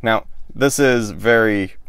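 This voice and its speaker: A man in his thirties calmly explaining how to do something step by step, demonstrating with his hands in a small boat cabin, close to the camera. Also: fairly low pitch, slow pace, smooth, dry recording. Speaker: sailing show host. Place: small wood-paneled boat cabin, indoors. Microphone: camera close in front of the face.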